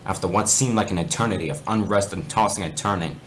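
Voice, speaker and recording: Low-voiced man, noisy voice chat. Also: fast. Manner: sweary. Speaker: fan fiction reader. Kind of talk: amateur read-aloud in a casual game voice chat. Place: online game voice chat.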